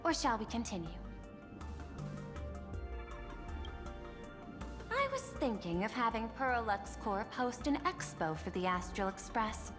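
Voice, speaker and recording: An actress voicing a poised, persuasive corporate executive in a video game, speaking in a polished, formal and measured way. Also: female voice, on the high side, big pitch swings, in a large hall, over music. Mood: courteous, persuasive.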